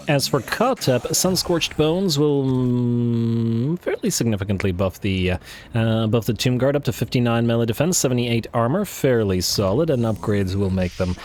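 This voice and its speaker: A man thinking aloud while playing a strategy game, full of fillers and restarts, his voice worn and going hoarse. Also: mid-range voice, expressive, normal speed, darkish tone, a little hiss or hum. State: losing his voice. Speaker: let's play host. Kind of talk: casual strategy game commentary.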